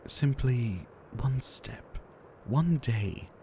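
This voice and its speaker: A man playing a gentle, ailing pharmacist in an ASMR roleplay, soft-spoken and courteous, with wistful, theatrical phrasing. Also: mid-range voice, lively intonation, drawn-out words, a little hiss or hum. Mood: earnest, hopeful. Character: gentle, ailing male pharmacist, courteous, earnest, devoted healer. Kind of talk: ASMR roleplay addressed to the listener.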